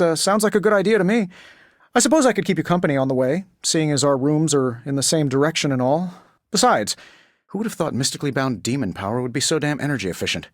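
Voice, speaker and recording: An actor voicing a cocky, wisecracking genius inventor superhero in a video game, with an American accent and a sarcastic, glib delivery. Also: male voice, fairly high pitch, no room sound, studio quality, close to an everyday voice. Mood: wry banter. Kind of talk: video game character voice lines.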